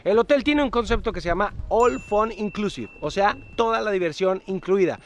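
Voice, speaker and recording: Man, travel vlog, upbeat, outdoors, fairly high voice. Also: animated, normal speed, slight background noise. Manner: upbeat, addresses viewers directly. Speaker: travel vlogger.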